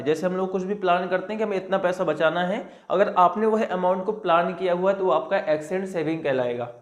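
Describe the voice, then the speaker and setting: A man teaching a commerce lesson. He reads out definitions slowly, then explains them step by step and speaks straight to his students. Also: high voice, roomy sound. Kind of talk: classroom lecture.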